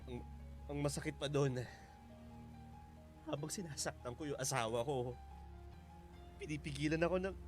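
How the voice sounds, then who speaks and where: Male actor as guilt-ridden father, Filipino radio drama, emotional, halting, higher-pitched, soft music behind. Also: lightly acted. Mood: remorseful, anguished. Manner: halting, repeats phrases, emotional. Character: guilt-ridden fugitive husband and father, anguished.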